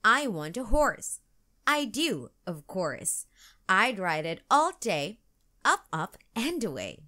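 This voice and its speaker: A woman's voice: mid-range voice, very animated, takes it slowly, bright, forceful tone, exaggerated voice. A woman voicing an eager, plucky little girl in a children's storybook, exclamatory and enthusiastic. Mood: excited.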